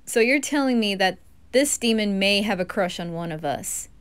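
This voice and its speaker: A woman's voice: medium pitch, expressive, normal speed, fairly bright tone, clean tone. A woman performing an amateur anime roleplay, voicing the dialogue herself.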